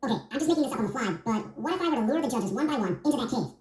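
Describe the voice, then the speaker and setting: Fast, wry raccoon hero. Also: male voice, very high voice, animated, a little mellow, roomy sound. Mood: scheming. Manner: casual, dryly sarcastic. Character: cool, wry young raccoon thief, cartoon hero. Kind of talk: cartoon video game dialogue.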